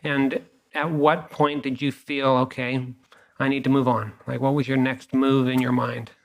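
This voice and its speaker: A man's voice: medium pitch, normal speed. Male interview host, casual and encouraging. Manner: relaxed and encouraging, with short affirmations.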